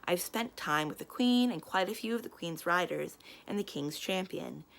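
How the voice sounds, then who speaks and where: A chatty young nobleman in his teens, as a woman reader plays him, friendly and dryly wry. He tends to make little speeches, in a storybook style with a slightly creaky edge. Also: on the low side, expressive, normal speed, fairly bright tone. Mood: earnest. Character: talkative, wry, friendly teenage noble boy.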